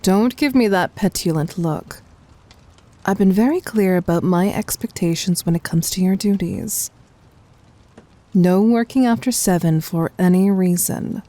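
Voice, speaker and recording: A woman voicing a commanding, possessive yet tender demon queen in an ASMR roleplay, soft, husky and often near a whisper, with imperious, teasing delivery. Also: fairly low pitch, a little slow, close to an everyday voice. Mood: stern, displeased.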